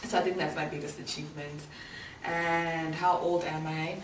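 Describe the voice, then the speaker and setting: Low-voiced chatty South African woman. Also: little pitch movement, normal speed. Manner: chatty and candid, with slang and fillers. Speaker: vlogger. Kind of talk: casual Q&A chat to camera. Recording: echoey room, voice a little far from the mic, clear background noise.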